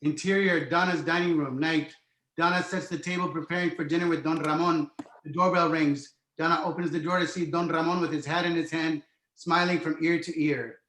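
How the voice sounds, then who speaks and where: A man's voice: fairly high pitch, steady tone, a little fast, a bit bright. A man narrating a screenplay, reading out scene headings and stage directions from the script. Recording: roomy, echoing sound.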